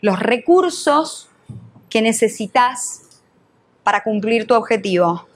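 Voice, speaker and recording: Mujer argentina dando un taller. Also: female voice, on the high side, animated, slow. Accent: Argentine Spanish. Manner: questions the audience, uses voseo, teacherly. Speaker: NLP trainer and coach. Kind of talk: live workshop talk.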